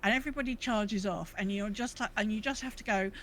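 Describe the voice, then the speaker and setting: A woman's voice: mid-range voice, fast, fairly bright tone. A woman chatting casually and enthusiastically, as a co-host on a gaming podcast.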